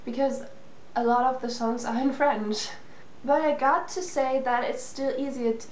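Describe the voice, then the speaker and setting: A woman's voice: fairly high pitch, darkish tone. Young German woman musing in an echoey room. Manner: rambling, with restarts and fillers. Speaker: vlogger. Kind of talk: casual personal talk to camera. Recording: echoey room with faint noise.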